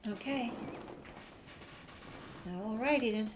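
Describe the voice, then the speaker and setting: A woman's voice: a little high, slow, background noise. A woman giving informal, easygoing playthrough commentary and reading game text and dialogue choices aloud. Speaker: gaming video creator.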